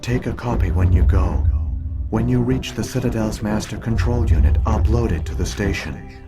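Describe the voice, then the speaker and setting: Guy doing ancient alien ai from a video game, very low voice, calm n formal, music playing behind. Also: natural voice. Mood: calm, instructive. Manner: measured, formal, explanatory. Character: ancient alien virtual intelligence, calm, grave, last witness. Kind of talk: scripted game dialogue, expository.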